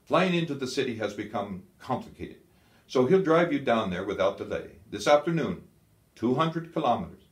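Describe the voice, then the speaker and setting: Guy doing a bossy german field marshal for an audiobook, curt and sneery, pitched up, echoey. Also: expressive, normal speed, natural voice. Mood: commanding. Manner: curt, authoritative. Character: commanding, scornful German field marshal, balding war veteran. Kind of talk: audiobook character dialogue. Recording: echoey, roomy sound.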